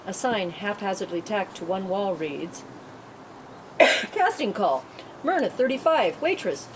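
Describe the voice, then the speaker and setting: A woman's voice: mid-range voice, expressive, measured pace. A woman reading scripted stage directions as narrator at a table read, in a noisy room.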